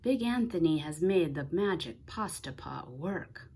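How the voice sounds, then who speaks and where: A woman voicing a boastful, excitable young man in a storybook, exclaiming in a sing-song way. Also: sits low, unhurried, husky, exaggerated voice. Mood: excited, boastful. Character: boastful, excitable young man, bumbling helper singing and shouting.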